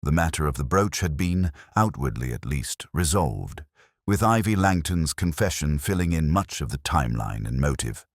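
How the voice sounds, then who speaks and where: A very low-pitched man narrates a mystery in a measured, deliberate way. His voice has a husky grain and moves expressively through the literary prose. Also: lively intonation, no room sound, studio quality. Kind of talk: scripted audiobook narration of a mystery, acting out dialogue.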